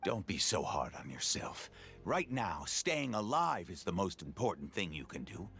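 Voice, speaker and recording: A deep, expressive mature warrior from a video game. He is steady and solemn, offering encouragement with wide rises and falls. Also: normal speed, gravelly, exaggerated voice. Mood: solemn, consoling.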